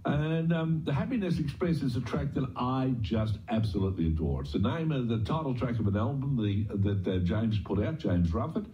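A man's voice: mid-range voice, expressive, normal speed, few pauses, mellow. A man presenting on radio, introducing music casually in a hesitant, rambling, unscripted way. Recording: dull lo-fi radio playback.

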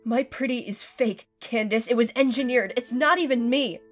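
A woman's voice: a little high, normal speed, soft background music, natural voice. A woman playing a socially awkward, defensive teenage girl, earnest and exasperated, in an audio drama. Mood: earnest, frustrated. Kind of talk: audio drama acting and first-person narration.

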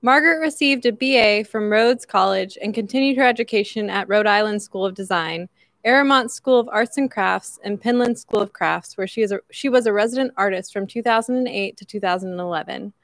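A young woman presenting at a benefit auction, reading item descriptions from her notes in a measured announcing manner on an outdoor porch stage into a stand microphone. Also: medium pitch, a bit bright, no room sound.

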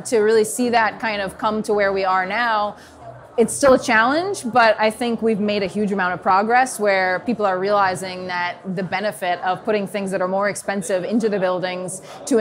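A middle-aged woman from New York with an American accent, talking as an interview guest in a quick, fluent way, with many fillers and restarts. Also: mid-range voice, steady tone. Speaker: strategy consultant, interview guest. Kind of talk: conversational interview. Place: indoor event booth, seated on sofa.

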